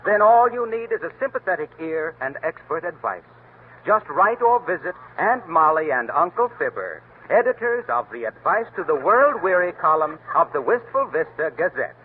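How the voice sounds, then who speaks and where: A man's voice: fairly high pitch, big pitch swings, normal speed. A man announcing a 1930s radio show and reading its commercial, emphatic and salesman-like. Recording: tinny lo-fi old radio broadcast, faint hiss.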